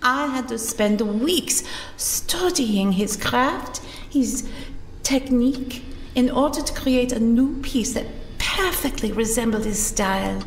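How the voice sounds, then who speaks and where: A woman's voice: fairly high pitch, lively intonation, slow, vocal fry, a little hiss or hum, exaggerated voice. A woman playing a haughty art forger. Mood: proud. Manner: proud, formal, indignant. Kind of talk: audio drama acting. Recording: roomy reverb with music behind.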